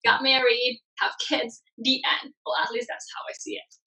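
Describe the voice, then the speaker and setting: A woman from Bali, Indonesia, in her thirties, telling her story as a guest in a casual podcast interview. She talks in an animated way and laughs often. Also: high-pitched, moderate pace, poor audio. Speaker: guest, branding expert.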